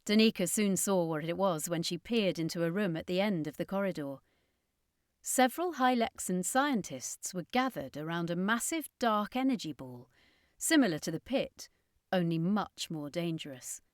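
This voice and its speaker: A woman's voice: on the low side, lively intonation, normal speed, no echo, studio quality. A woman reading a scripted adventure story as an audiobook narrator, in a dramatic storytelling style.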